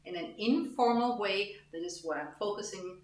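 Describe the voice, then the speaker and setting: A woman teaching a language lesson, explaining grammar slowly and deliberately, repeating example phrases and sounding them out. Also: medium pitch. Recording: roomy echo.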